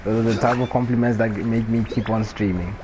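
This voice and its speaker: A man's voice: lowish voice, brisk. Chatty man with a Botswana accent. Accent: Botswana English. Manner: chatty and relaxed, laughs often. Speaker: game streamer. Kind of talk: casual live-stream chat. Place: home room during a rainstorm. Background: faint rain and ambient noise.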